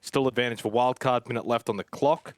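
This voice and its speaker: A man giving fast play-by-play commentary on an esports match, his voice leaping up at big plays. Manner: excitable, voice jumps high at big plays. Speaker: esports caster.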